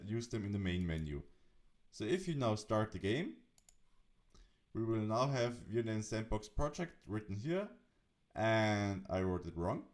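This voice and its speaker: A man presenting a software tutorial, narrating the setup step by step. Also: fairly low pitch.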